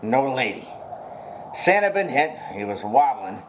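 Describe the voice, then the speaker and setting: A man's voice: mid-range voice, big pitch swings, a little slow, slight background noise, poor audio. A man playing a tough, wary, wisecracking gang boss in a hard-boiled noir radio comedy, speaking tersely. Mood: grim narrating.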